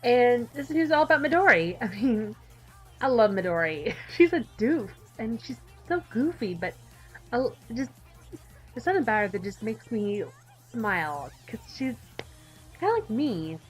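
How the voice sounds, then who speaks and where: A woman's voice: fairly high pitch, very animated, normal speed, soft background music. A woman hosting a gaming channel with casual, chatty, giggly and enthusiastic playthrough commentary.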